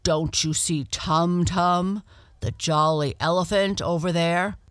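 A woman's voice: on the low side, steady tone, slow, clean tone, no echo, clean studio sound. A woman voicing a big, shaggy, friendly male dancing bear for a storybook, with a hearty, kindly, emphatic manner.

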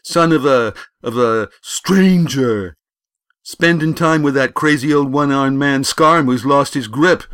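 A man voicing a loud, bullying, heavy-set village boy in a storybook, with rough, taunting speech. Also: medium pitch, expressive, takes it slowly, no echo, clean studio sound, voice pushed up. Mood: mocking. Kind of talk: storybook character voice.

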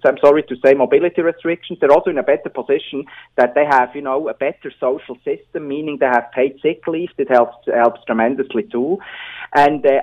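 Husky Swiss-accented man, muffled line. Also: mid-range voice. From Switzerland. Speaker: doctor and public health adviser interviewed as expert. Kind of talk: explanatory radio interview.

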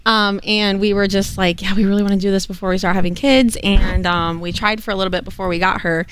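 A woman's voice: medium pitch, steady tone, quick pace, fairly bright tone, a little hiss or hum. A woman in her late twenties chiming in supportively beside her husband, sharing their goals and sacrifices. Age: 20s. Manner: supportive, chimes in often. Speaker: guest. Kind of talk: casual chat.

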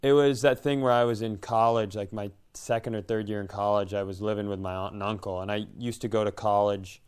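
A man's voice: fairly low pitch, fairly even intonation, a little fast, a little mellow, clear, resonant tone. A man telling a casual, comedic personal story in a self-deprecating way, slipping into imagined dialogue. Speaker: podcast host and comedian.

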